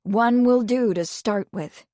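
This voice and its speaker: A woman voicing a composed gentlewoman who speaks calmly and firmly. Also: a little high, dry recording, clean studio sound. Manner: calm, measured, refined.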